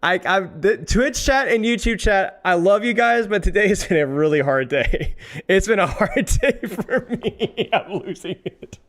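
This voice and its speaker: Animated high-voiced male streamer. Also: unhurried. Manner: exasperated, self-mocking, rambling. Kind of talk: casual live-stream commentary.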